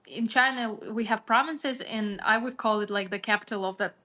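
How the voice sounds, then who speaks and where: A young woman from Russia, a native Russian speaker with a light Russian accent, answering as an interview guest, candid and quick to laugh. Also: a little high, bright, forceful tone. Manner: laughs easily, candid and short-spoken. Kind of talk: casual interview conversation.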